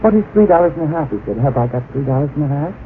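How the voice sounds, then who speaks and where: An actor playing a dreamy, self-satisfied young secretary and would-be author in an old-time radio drama, airy and excitable. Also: male voice, fairly high pitch, very fast. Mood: pleased, smug. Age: young adult. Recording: tinny, hissy old radio recording.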